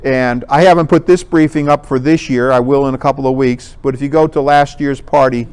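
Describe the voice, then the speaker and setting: A man with a clear, smooth voice talks a group through a slide presentation. He is informal and conversational, explaining things as he goes in a medium-pitched voice. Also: steady tone, brisk, a little mellow. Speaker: presenter at an amateur radio club talk.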